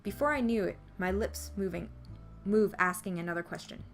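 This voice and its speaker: A woman reading a story aloud as scripted narration, in an expressive storytelling manner. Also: medium pitch, normal speed. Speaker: visual novel player reading the text aloud. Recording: music behind the voice.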